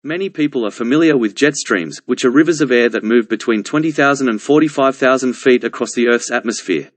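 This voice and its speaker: A man reading scripted passages aloud as a model narrator, with careful, measured enunciation. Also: mid-range voice, dry recording. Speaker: narrator of exam practice model answers.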